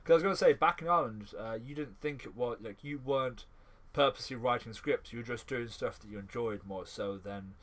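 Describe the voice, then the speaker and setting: A man's voice: mid-range voice, brisk, fairly bright tone. A man co-hosting a podcast in a casual, chatty interview, rambling and jokey, often repeating his words as he goes. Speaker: podcast co-host interviewing a friend.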